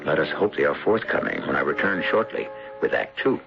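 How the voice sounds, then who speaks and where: A man narrating as host of a radio mystery, in a dramatic, deliberate and formal scripted style. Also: extremely low pitch, lively intonation. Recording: dull old radio broadcast with music. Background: music.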